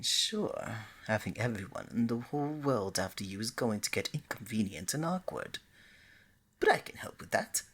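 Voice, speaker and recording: Woman playing a lovestruck, husky, low-voiced love deity. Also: exaggerated voice. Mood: pleading, flirty. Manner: playful, coaxing, bubbly and hesitant by turns. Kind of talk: ASMR romance roleplay, addressed to the listener.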